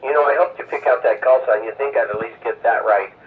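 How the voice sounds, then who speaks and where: A man hosting an amateur radio net, giving informal announcements in a halting, hesitant way over a radio transmission. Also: mid-range voice, far from the mic, a little hiss or hum. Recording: narrow phone-like radio sound, off-mic.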